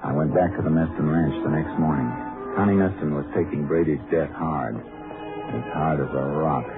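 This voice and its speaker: Deep skeptical Texas Ranger, deadpan, measured, 1950s radio drama, narrow-band vintage sound. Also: male voice. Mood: dry, cool. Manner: dry, deadpan, measured questioning. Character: calm, skeptical male Texas Ranger investigator. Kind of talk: 1950s radio drama acting, first-person narration and dialogue. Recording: muffled vintage radio recording with music.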